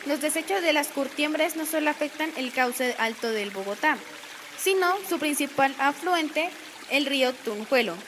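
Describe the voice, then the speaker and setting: A woman reads a script in a high voice. Running water and noise are clear behind her. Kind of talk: scripted narration.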